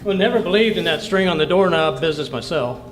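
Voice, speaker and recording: A man's voice: fairly high pitch, lively intonation, quick pace, close to an everyday voice. A man playing a blustering, argumentative, dim-witted husband in old-time radio comedy, folksy and indignant. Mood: evasive, casual. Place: clubhouse hall, live radio play performance. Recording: roomy live stage recording, faint noise.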